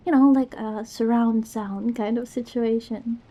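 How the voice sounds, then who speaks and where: A woman's voice: a little high, fairly even intonation, unhurried, dark tone. A woman playing a goofy, affectionate young girlfriend in an intimate ASMR roleplay, with a playful, teasing manner. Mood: casual, explaining.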